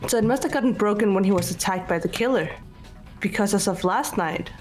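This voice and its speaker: A woman playing a composed, logical student detective in an amateur game dub, speaking in a measured way over music. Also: medium pitch, a little mellow, natural voice. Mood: calm, analytical. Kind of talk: scripted amateur visual novel voice acting. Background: background music.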